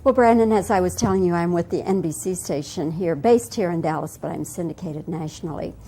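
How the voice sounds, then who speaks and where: A woman's voice: lowish voice, fairly quick, dark tone, low-quality recording. A woman who works as a television interviewer, asking questions in a friendly, conversational way. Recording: faint background noise.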